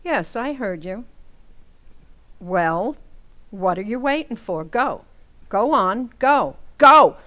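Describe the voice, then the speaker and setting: A woman's voice: medium pitch, expressive, slow pace, long pauses, a bit bright. An actress playing a patient but firm middle-aged housewife and mother in an old-time radio comedy, with pointed delivery. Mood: icy, firm.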